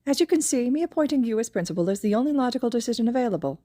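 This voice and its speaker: A small, cunning animal principal played by a woman in an audio story, pitched up and chipper. He speaks in formal, wordy sentences with cheerful smugness and a sadistic streak. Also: lively intonation, racing, dark tone, no room sound, clean studio sound. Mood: smug, brisk. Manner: formal, verbose, cheerfully smug. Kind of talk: audio fan fiction character voice.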